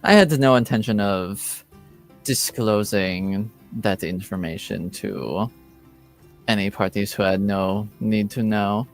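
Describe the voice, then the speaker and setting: Slow, measured fantasy lord acted by a man. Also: lowish voice, soft background music. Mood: guarded, measured. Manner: formal, measured, deliberate.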